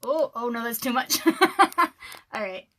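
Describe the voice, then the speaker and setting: A woman in her thirties with a scratchy voice, rambling casually on a livestream from her dark room at home, with lots of ums and little laughs. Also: on the high side, animated, slow pace. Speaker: author and livestream host. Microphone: webcam livestream.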